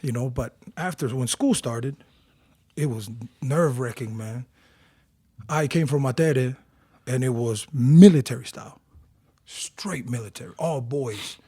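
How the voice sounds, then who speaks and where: Interview guest: husky man in his forties from Tonga, animated storyteller, dry close mic. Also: mid-range voice, unhurried, dry recording. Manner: animated storyteller, acts out quotes.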